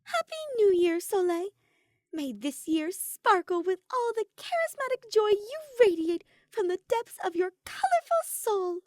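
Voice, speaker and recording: A woman voicing a theatrical, warmly effusive young female mage in a narrated story. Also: very high voice, expressive, measured pace, no echo, clean studio sound, voice pushed up. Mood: exuberant.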